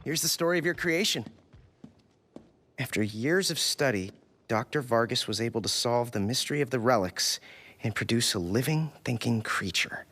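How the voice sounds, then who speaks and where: A man's voice: medium pitch, expressive, moderate pace, a bit bright. An actor playing an earnest, eager young male adventurer in a video game cutscene. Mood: admiring.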